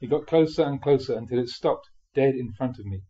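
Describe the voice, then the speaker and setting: A man reading a spiritual memoir aloud expressively, in a measured way with dramatic pauses. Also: mid-range voice, mellow, husky, muffled recording. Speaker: reader of Buddhist teachings.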